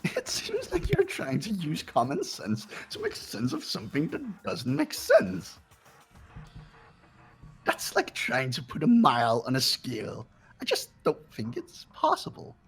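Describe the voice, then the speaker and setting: A performer voicing a sadistic, mocking mechanical bear in a fan-voiced video game, gravelly and theatrical, with taunting cackles. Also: medium pitch, huge pitch swings, unhurried, faint music behind, exaggerated voice. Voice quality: gravelly, raspy. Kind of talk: fan voice acting over a game playthrough.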